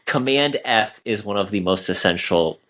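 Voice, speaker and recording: A man's voice: lowish voice, expressive, moderate pace, a bit bright. A man on a podcast panel chatting casually about fiction, exclamatory and given to quoting his own reactions.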